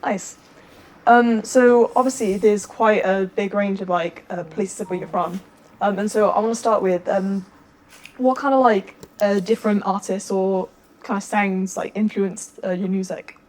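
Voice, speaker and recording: A woman's voice: mid-range voice, steady tone, measured pace, darkish tone. A woman interviewing in a casual, informal and slightly hesitant way. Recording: ambient room noise behind.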